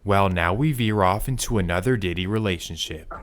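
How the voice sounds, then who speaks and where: A man narrating a documentary from a script, measured and dramatic. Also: deep voice. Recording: narration over loud music.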